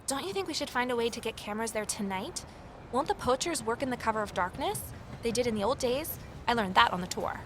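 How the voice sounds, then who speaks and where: A woman's voice: on the high side, expressive, quick pace, noisy background, natural voice. A woman playing a curious, determined investigator who narrates an audio drama in a reflective, conversational way, with ambience behind her. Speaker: narrator and lead character. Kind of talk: first-person audio drama narration and dialogue.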